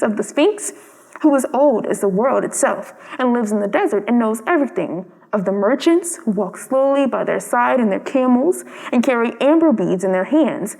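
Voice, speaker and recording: A woman's voice: fairly high pitch, darkish tone. A woman reading a children's story aloud as scripted narration. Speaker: storybook reader. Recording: long hall-like reverb.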